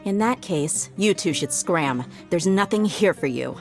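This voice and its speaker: Blunt, stern mercenary bodyguard. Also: female voice, lowish voice, animated, a little slow. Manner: blunt, no-nonsense. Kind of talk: animated game voice acting. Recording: music playing behind the voice.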